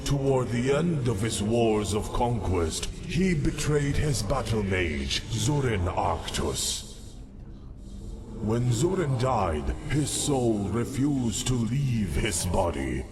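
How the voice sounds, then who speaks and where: An actor playing a cold, scheming man of mystery in a video game, speaking in a measured, formal and deliberate way. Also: mid-range voice, sing-song, noisy background. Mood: grave, confiding. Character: cold, scheming male mystery figure granting dark errands. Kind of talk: scripted video game dialogue. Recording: game music behind the voice. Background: music.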